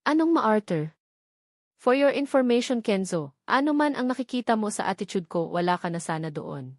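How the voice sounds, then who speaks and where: A woman's voice: medium pitch, very animated, normal speed, dry recording, studio quality, close to an everyday voice. Feisty young romance heroine, voiced by a woman. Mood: indignant, defiant. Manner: indignant, sassy.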